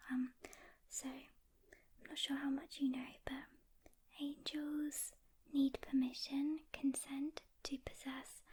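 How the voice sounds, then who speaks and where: Whispering, high-voiced woman in ASMR roleplay. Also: background noise. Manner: hushed, gentle, unhurried, addressing the listener directly. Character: calm experienced female demon hunter briefing a newcomer. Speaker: ASMR roleplayer playing a demon hunter.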